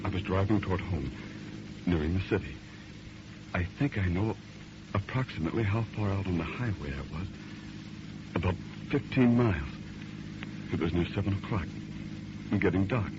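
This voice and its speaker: A deep-voiced, shaken husband speaks gravely and haltingly, as in 1930s radio melodrama. The recording is dull and slightly noisy. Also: male voice, animated. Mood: grave, recounting. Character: shaken, haunted husband recounting a night of horror. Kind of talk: 1930s radio drama acting.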